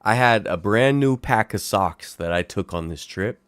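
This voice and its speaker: A young man with a raspy voice, hosting a casual late-night livestream from home and chatting playfully with viewers. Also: lowish voice, moderate pace, no echo, studio quality. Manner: animated, playful, talks to chat viewers. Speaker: livestream host.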